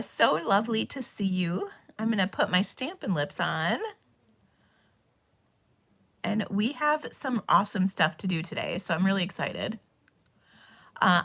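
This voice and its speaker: A woman hosting a casual live craft stream, chatty and bubbly, her voice a little hoarse because she is losing it. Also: medium pitch, fairly bright tone. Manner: chatty and bubbly, with frequent ums and laughs. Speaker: host of a live stamping and cardmaking stream.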